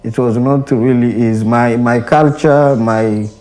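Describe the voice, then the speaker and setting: A young man with a Congolese accent and Swahili as his mother tongue, speaking haltingly and reflectively in English, often repeating phrases. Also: medium pitch, fairly even intonation, warm tone. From Democratic Republic of the Congo. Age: young adult. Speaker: Benedictine monastic brother, interviewee. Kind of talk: reflective personal interview. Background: background music.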